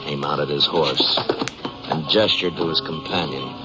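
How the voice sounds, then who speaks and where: A man's voice: extremely low pitch, fairly even intonation, a little slow, loud music behind the voice. An American man narrating a 1950s radio Western in a scripted, dramatic storytelling style. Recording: dull 1950s radio recording.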